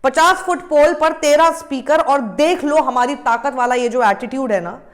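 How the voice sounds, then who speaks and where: High-voiced exasperated woman commentator. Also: normal speed. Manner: argumentative, exasperated, mixing Hindi with English phrases. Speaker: news commentator. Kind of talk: opinion news commentary to camera.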